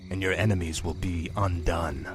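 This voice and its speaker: A sly human emissary voiced by a man in a game cinematic. He is low-pitched and smooth, insinuating each word. A loud score runs underneath. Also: steady tone, normal speed, music in the background, lightly acted. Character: cryptic human male agent of a dark master. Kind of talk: video game cinematic acting.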